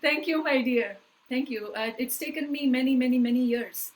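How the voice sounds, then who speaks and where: A woman leading a live online spiritual workshop, talking in an earnest, emphatic way with slow, deliberate phrasing that often trails off softly. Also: high voice, low-quality recording.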